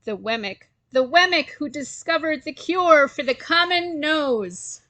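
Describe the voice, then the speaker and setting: A woman's voice: sits high, animated, sharp tone, no room sound, voice pushed up, exaggerated voice. A woman voicing a pompous, scornful male town mayor who makes declamatory speeches in a children's story. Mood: proclaiming. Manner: declamatory, pausing for effect.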